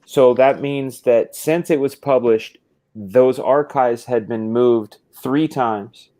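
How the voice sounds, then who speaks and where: A man of middle pitch chats as a guest artist on a video call. He explains at length, circling back and restarting his sentences as he goes. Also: slow, mellow. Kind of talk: casual livestream chat.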